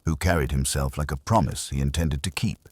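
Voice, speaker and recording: A man narrating first-person detective fiction in a measured, dry, wry storytelling manner. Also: extremely low pitch, a little mellow, dry recording. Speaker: audiobook narrator.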